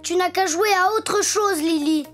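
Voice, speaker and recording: An actor voicing a lively, possessive little boy donkey in a French preschool cartoon, with a childish, emphatic way of talking. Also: very high voice, a little slow. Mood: bossy, protesting. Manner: childish, emphatic, whiny when refused.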